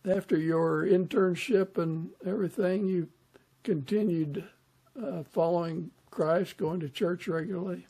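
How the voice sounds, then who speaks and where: High-pitched, creaky male podcast host. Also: steady tone, a little slow, mellow. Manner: reflective, encouraging. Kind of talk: conversational interviewing.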